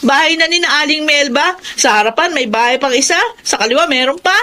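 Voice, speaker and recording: A woman's voice: sits high, brisk, sharp tone. An actress playing an exasperated young adult daughter who scolds her quarreling parents. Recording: clear background hiss.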